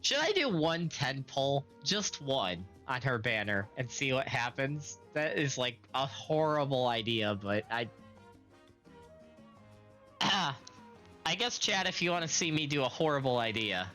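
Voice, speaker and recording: Slow-talking bright-voiced male streamer, slightly raspy. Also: medium pitch, very animated, faint music behind. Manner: slow, chatty, occasional coughs and throat clearing. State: recovering from illness, slightly raspy. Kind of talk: casual game stream commentary.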